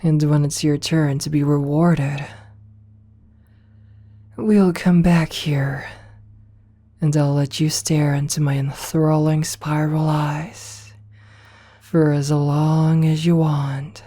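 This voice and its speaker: Woman acting a low, breathy, possessive female boss. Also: flat delivery. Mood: possessive, soothing. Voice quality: soft, breathy, often near-whispered. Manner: slow, coaxing, condescendingly affectionate. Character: possessive, seductive rich female CEO, hypnotic and domineering. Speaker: voice actor in an audio roleplay. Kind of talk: ASMR roleplay, intimate slow address to listener.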